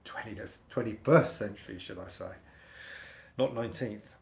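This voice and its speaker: A middle-aged British man with a slightly croaky voice, chatting casually and telling personal anecdotes in a rambling, conversational way. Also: on the low side, normal speed, echoey room. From United Kingdom. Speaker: vlogger.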